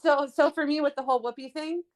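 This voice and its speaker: A woman's voice: high-pitched, steady tone, quick pace, no room sound. Casual woman, dry close sound. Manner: casual, hedging. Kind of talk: casual chat.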